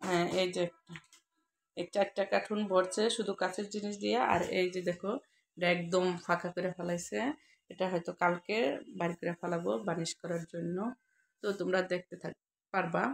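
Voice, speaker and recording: A woman's voice: fairly low pitch, little pitch movement, fairly quick, vocal fry. A woman with a Bangladeshi accent, a mother casually narrating her home vlog and chatting with family. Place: indoors at home. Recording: household noise, clattering dishes.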